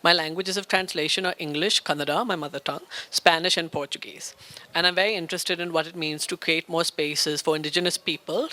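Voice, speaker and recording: Male panel moderator, Indian American, eager and list-heavy, sharp bright voice. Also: fairly high pitch, steady tone, fairly quick. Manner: enthusiastic, flowing lists. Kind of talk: festival panel introduction.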